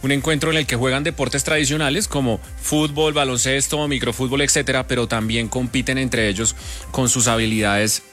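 A man's voice: medium pitch, steady tone, fairly quick, a bit bright, soft background music. A man with a Colombian accent, speaking conversationally as the host of an interview show.

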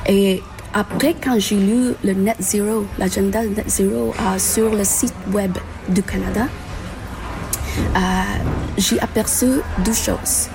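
A woman from the Philippines, answering an interview at a climate event in halting speech full of fillers and restarts. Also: mid-range voice, fairly even intonation, music in the background, rough recording. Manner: halting, many fillers and restarts, mixes in English terms. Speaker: conference participant.

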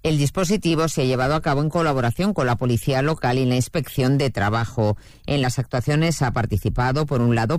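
A man's voice: mid-range voice, darkish tone, dry recording, studio quality. A man with an accent from Spain reading a scripted news report. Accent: Spanish from Spain.

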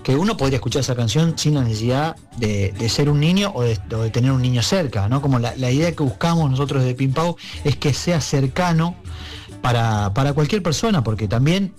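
A man with an Argentine accent speaking as a musician guest in an interview, reflective and halting, often checking for agreement. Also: medium pitch, expressive, darkish tone, faint music behind. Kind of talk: conversational interview.

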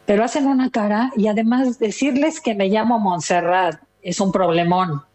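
A woman's voice: mid-range voice, moderate pace, a little mellow. An older woman with a Mexican accent, chatting casually and expressively as an interview guest, telling stories with quoted remarks. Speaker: interview guest, retired listener. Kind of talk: casual conversational storytelling.

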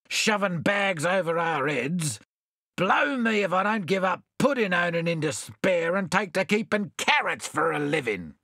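A man's voice: on the high side, sharp tone, no room sound, studio quality, full character voice. A man voicing a grumbling old male koala sailor in a storybook. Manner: grumbling, blustering.

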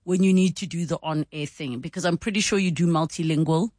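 A woman's voice: on the low side, brisk, a little mellow, no echo, studio quality. Chatty woman radio host. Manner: chatty, laughs often, asks probing questions. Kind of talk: casual on-air chat.

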